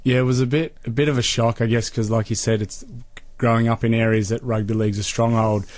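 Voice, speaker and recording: A man's voice: medium pitch, little pitch movement, fast, a little mellow. An Australian man from Sydney giving casual, tentative, understated answers as a guest in a radio interview. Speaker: interview guest, amateur rugby league player.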